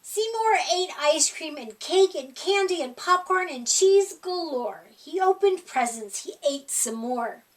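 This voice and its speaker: A woman reading a children's picture book aloud at a library storytime, with gentle, expressive delivery. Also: very high voice, measured pace, hardly any pauses. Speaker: storytime reader. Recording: voice from far off the mic.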